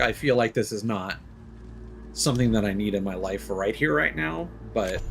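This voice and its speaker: A young man with a fairly low voice chats loosely while he plays, drifting from thought to thought with plenty of "uh" and "like". He stays relaxed but now and then jumps up into a sudden excited burst. A constant hiss of background noise sits under his voice. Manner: rambling, thinking aloud with many fillers. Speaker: game streamer. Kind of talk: casual gameplay commentary.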